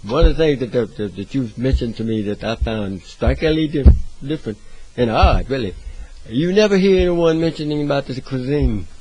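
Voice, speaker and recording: A man's voice: medium pitch, expressive, normal speed, few pauses, darkish tone, rough recording. A man hosting an interview, asking questions hesitantly with many fillers. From United States. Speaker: host.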